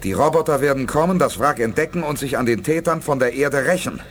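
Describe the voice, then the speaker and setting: A man acting as a commanding space leader in an eighties sci-fi radio drama. His mid-pitched voice swings with lively intonation and decisive authority, over faint background noise. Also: a little fast, lightly acted. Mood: grave, focused. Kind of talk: 1980s German science fiction radio play acting.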